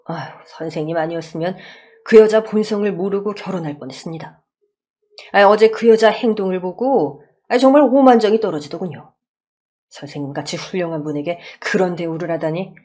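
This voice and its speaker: A woman's voice: on the low side, normal speed, dark tone, close to an everyday voice. A woman voicing a grateful, courteous elderly male company boss in a radio story, formal and gracious. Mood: courteous, earnest.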